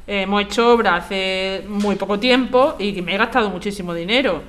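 Woman acting stubborn indignant housewife, Castilian Spanish accent, blunt, flustered, repetitive, mid-pitched, audio drama. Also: moderate pace, fairly bright tone, lightly acted. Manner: blunt, flustered, repeats herself. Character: Silvia, indignant, stubborn homeowner and wife.

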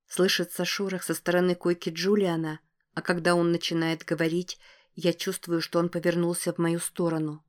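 A woman's voice: on the low side, level tone, normal speed. A woman reading scripted first-person fiction as an audiobook narrator.

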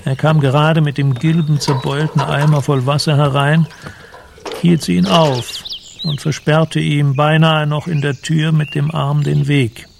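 Gravelly male radio drama narrator. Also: a little high, little pitch movement, a little slow, a little hiss or hum.

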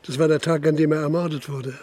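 An actor playing a methodical, insistent police investigator in a German radio crime drama. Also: male voice, on the high side, steady tone, quick pace, mellow, lightly acted. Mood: probing. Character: persistent, probing male police investigator narrating his inquiry. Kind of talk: German radio drama acting, interrogation and narration.

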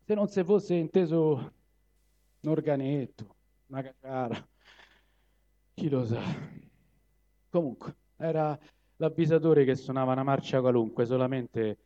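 Theatrical Roman verse reader. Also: male voice, medium pitch, mellow. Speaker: poetry reader. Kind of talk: dramatic verse reading. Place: live event venue. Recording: dull live recording.

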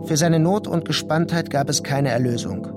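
Guy narrating a literary radio drama, voice a bit high, measured phrasing, loud music underneath.

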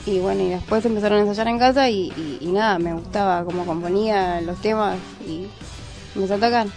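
A woman's voice: mid-range voice, fairly quick, a little mellow, soft background music. A woman with an Argentine Spanish accent, a band guitarist, chatting easily in a radio interview with casual fillers. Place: radio studio.

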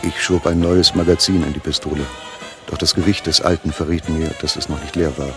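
A man's voice: a bass voice, level tone, fairly quick, over music. An actor in a German radio drama playing an uneasy, reluctant male accomplice in a thriller. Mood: grim, narrating.

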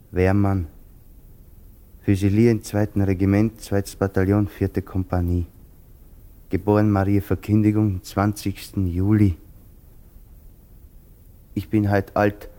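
Very slow, haunted common soldier. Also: male voice, lowish voice, mellow. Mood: halting, reciting. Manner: halting, deliberate, brooding. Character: resigned, haunted thirty-year-old common soldier, simple and melancholy. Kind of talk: German radio play acting.

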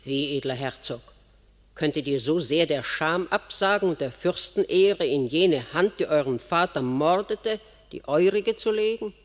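A woman's voice: low-pitched, lively intonation, unhurried. An imperious queen. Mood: rebuking. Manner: declamatory stage verse, urgent. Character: imperious queen, pleading and rebuking. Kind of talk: classic radio play. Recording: long hall reverb.

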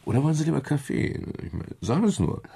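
An actor playing a world-weary private detective in a German crime radio play, wry and measured, with a gravelly, husky voice. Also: male voice, on the high side, sing-song, warm tone, full character voice. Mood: gently caring. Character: world-weary male private detective narrating his case. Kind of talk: German crime radio play, first-person narration and dialogue.

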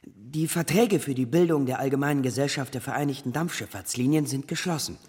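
An actor playing a triumphant company director in a German radio drama, proclaiming formally. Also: male voice, medium pitch, brisk, a little mellow, breathy edge, close to an everyday voice. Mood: proud, formal. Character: male company director bringing triumphant business news. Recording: long hall reverb.

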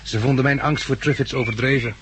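An actor in a 1960s radio drama playing a cautious, inquisitive male survivor, wry and conversational, with a slightly gravelly voice. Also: mid-range voice, steady tone, fast talker, a bit bright, lightly acted. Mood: wry, relaxed. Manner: conversational, questioning. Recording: noisy old 1960s radio recording.

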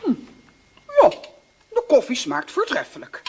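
An actress playing a fussy, bossy middle-aged aunt with a husky, theatrical, indignant manner in a children's radio play. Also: female voice, high voice, wildly swooping pitch, a little slow, exaggerated voice.

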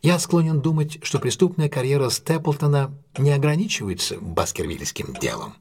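An actor playing a composed, analytical male detective in an audio drama, explaining things in a deliberate, self-assured way. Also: mid-range voice, very animated, gravelly, darkish tone, breathy edge, full character voice. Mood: composed, explanatory. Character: composed, analytical male English detective recounting a solved case.

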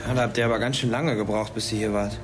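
A young man playing an excitable, questioning teenage schoolboy in a naturalistic German radio drama. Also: lowish voice, fast, over music, natural voice. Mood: nervous. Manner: colloquial, probing, questioning. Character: excitable, inquisitive teenage schoolboy sheltering from an attack.

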